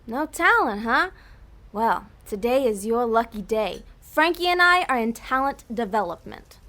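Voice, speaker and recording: A woman playing a bossy, impatient demon posing as a talent developer in an audio comedy, brisk and sarcastic. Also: a little high, big pitch swings, sharp tone, heavily acted. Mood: smooth, scheming. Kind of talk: audio comedy drama acting.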